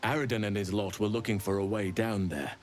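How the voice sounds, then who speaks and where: A man playing a wise, solemn archdruid in a video game, speaking in a measured, formal and regretful way. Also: on the low side, steady tone, natural voice. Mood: grave, explaining. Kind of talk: video game dramatic dialogue.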